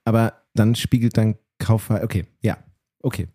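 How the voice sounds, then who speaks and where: A man's voice: fairly low pitch, steady tone, brisk, mellow, dry recording, studio quality. A man interviewing two guests in a casual way, probing with chatty follow-up questions.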